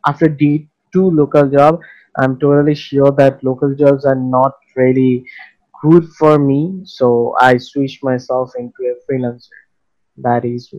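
Young Bangladeshi man, flat even pitch. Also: muffled recording. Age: young adult. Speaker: interview guest, freelance web developer. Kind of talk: casual interview answers.

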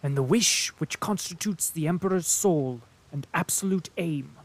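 A man reading aloud voices a stout, patriotic German colonel who declaims loudly and pompously. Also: on the high side, moderate pace, pitched above the performer's own voice. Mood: blustering. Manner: loud, emphatic, pompous declaiming.

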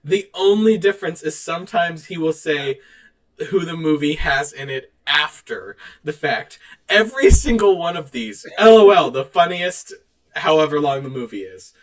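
A man with a fairly high voice co-hosts a podcast in a loose, conversational style. He is animated and laughs readily as he talks. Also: normal speed, poor audio. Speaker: podcast co-host. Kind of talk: casual chat, reading out online reviews.

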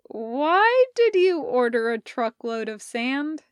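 A woman's voice: high voice, big pitch swings, slow pace, clean tone, no room sound, studio quality, full character voice. A woman voicing a bleary, laid-back young man who talks casually and hesitantly in a story reading. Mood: bewildered, pleading.

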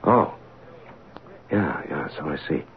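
An actor playing a weary, wry middle-aged American detective in an old radio drama, husky and gravelly, with a hesitant, colloquial delivery. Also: male voice, very deep voice, animated, slow, highs cut off, exaggerated voice. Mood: awkward, probing. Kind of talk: old-time radio drama acting, first-person narration and dialogue. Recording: band-limited old radio broadcast.

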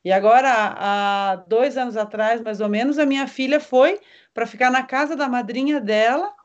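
A woman's voice: fairly high pitch, normal speed, dull audio. A middle-aged Brazilian woman hosting a casual interview over a video call, chatty and conversational. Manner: chatty, conversational, asks questions. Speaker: host.

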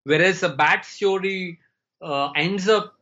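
A man, a Tamil speaker, thinking aloud as he answers. His voice sits a little high and comes through dull, lo-fi call audio. He searches for his words slowly and speaks in a measured way. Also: pushed and bright. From India. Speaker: guest storyteller. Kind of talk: reflective interview talk.

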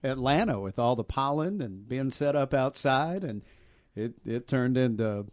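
A male sports broadcaster chatting in a radio studio, easygoing and a bit halting. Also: mid-range voice, expressive, darkish tone, dry recording. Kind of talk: casual studio talk.

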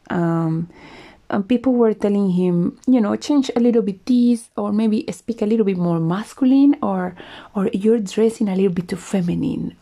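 Woman w/ a smoky husky after-flu voice, gravelly and mellow, chatty podcast talk. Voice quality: smoky, low voice. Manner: reflective, chatty, with soft laughs and restarts. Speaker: podcast host. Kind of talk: casual solo podcast monologue.

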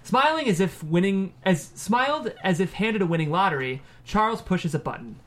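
A man's voice: high-pitched, expressive, moderate pace, a bit bright. A man reading the stage directions as narrator of a screenplay table read.

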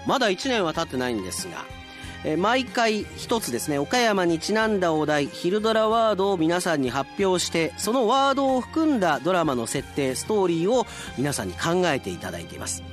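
A man's voice: sits high, big pitch swings, brisk, faint music behind. A man hosting a radio segment, chatting casually to listeners with teasing asides. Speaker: radio host and announcer. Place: radio studio.

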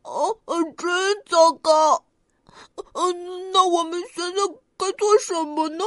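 A frightened little bear cub who stammers and whimpers through every line, played by a woman reading aloud. His voice is very high and slow. It is a close, dry storybook recording over faint music. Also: steady tone, fairly bright tone, no room sound, full character voice. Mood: scared. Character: timid, trembling little boy bear.

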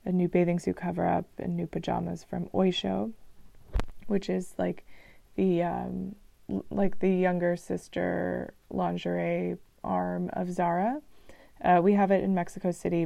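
A woman chatting casually in a travel vlog, rambling and relaxed, with frequent ums and restarts, on a dull, lo-fi recording. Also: fairly low pitch, a little slow, creaky, no echo. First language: English. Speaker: vlogger.

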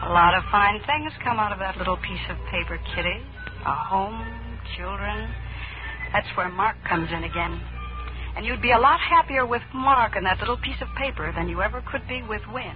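An actress playing a heroine's sensible, admonishing inner conscience in a 1940s radio drama. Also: female voice, mid-range voice, lively intonation, normal speed, natural voice. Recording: tinny 1940s radio recording, music behind.

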